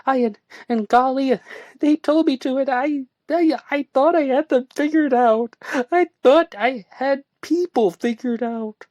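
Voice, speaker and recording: A woman reading a story aloud and voicing a distraught, volatile young woman who pleads and lashes out in shrill outbursts. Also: measured pace, a little mellow, no echo. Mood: upset.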